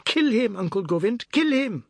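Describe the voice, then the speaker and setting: Man playing a shrill, petulant shouting boy. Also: expressive, normal speed, no echo. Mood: bloodthirsty shouting. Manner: shouting, taunting, Caribbean creole grammar. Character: shrill, petulant, bloodthirsty boy, pampered and indignant.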